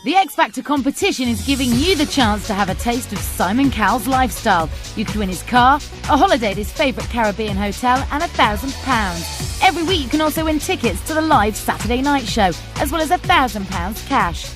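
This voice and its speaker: A woman announcer reading a scripted voice-over with brisk promo delivery. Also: a little high, expressive, over music.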